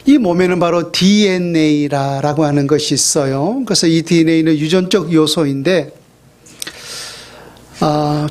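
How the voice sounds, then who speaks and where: A middle-aged man gives a sermon as a pastor, in a relaxed, conversational tone. His voice sits a little on the high side for a man. He drops in small fillers and draws out the words he wants to stress. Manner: conversational, with fillers and slow emphatic phrases.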